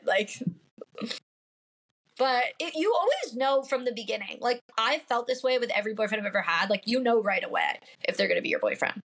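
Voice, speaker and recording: A woman's voice: a little high, a bit bright. A woman in her late twenties with a nasal voice, chatting casually as a podcast guest, upfront and full of fillers. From Pacific Northwest. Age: late 20s. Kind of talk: casual chat.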